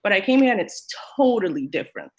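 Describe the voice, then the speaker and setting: A woman's voice: medium pitch, sing-song, quick pace, highs cut off. Emphatic woman. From Kenya. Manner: emotional, emphatic. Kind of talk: earnest discussion.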